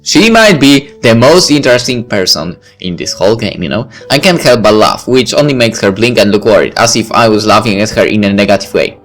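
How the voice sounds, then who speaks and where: A man's voice: lowish voice, animated, a little fast, hardly any pauses, a bit bright, faint music behind. A man reading a visual novel aloud as a let's play narrator, with casual asides.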